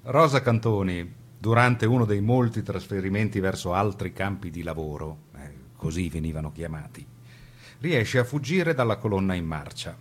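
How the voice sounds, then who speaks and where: A man narrating a historical account for a radio drama, in documentary style. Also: on the low side.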